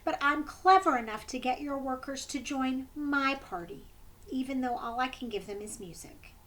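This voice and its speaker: A woman voicing a small, clever male turtle trickster in a calm, matter-of-fact storybook reading. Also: high-pitched, moderate pace, a little hiss or hum. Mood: calmly defiant.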